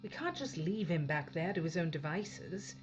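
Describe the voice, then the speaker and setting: A woman's voice: fairly low pitch, very animated, moderate pace, highs cut off, faint music behind. A woman playing a blunt, authoritative female spaceship captain in an audio drama. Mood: wary, determined. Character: tough, commanding female captain of a failing spaceship. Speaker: actor playing a ship's captain.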